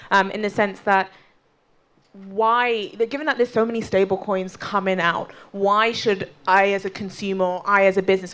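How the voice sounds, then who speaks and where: A woman moderating a conference panel, asking probing, pointed questions. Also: medium pitch.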